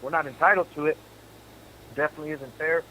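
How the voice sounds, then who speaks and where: Guy in his 40s on a prison phone, talks fast, voice a bit high, some hiss on the line. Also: fairly even intonation, pushed and bright, low-quality recording. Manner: earnest, repeats words when starting a thought. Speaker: incarcerated guest on a call-in show. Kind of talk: casual phone chat.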